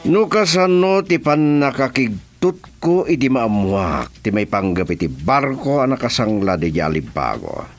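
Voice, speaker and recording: A commanding crime boss played by a man in a radio drama. He speaks slowly and deliberately, and his pitch swings widely as he addresses people. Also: sing-song, soft background music. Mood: scheming.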